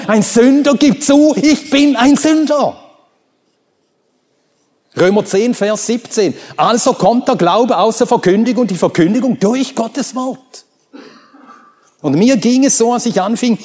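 A man whose first language is Swedish, preaching a sermon and reading scripture, emphatic and rising to high ringing exclamations. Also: darkish tone, slightly rough. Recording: long reverb of a large hall.

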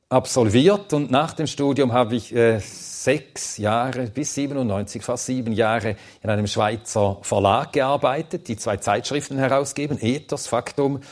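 A man whose first language is Swedish, teaching a Bible seminar and answering audience questions in a thoughtful, plain-spoken way with some hesitant fillers. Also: medium pitch.

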